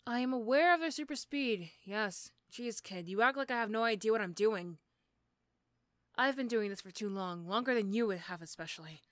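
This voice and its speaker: A woman playing an irritable, sarcastic female supervillain in a roleplay audio drama, snappy and condescending but gruffly caring. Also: a little high, moderate pace, fairly bright tone, no room sound, clean studio sound, pitched below the performer's own voice, close to an everyday voice. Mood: condescending, reassuring.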